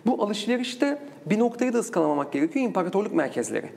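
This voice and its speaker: A man's voice: sits high, very animated, quick pace, vocal fry, a little mellow. A man speaking as an interview guest and researcher, explaining in a discursive academic way with rhetorical questions.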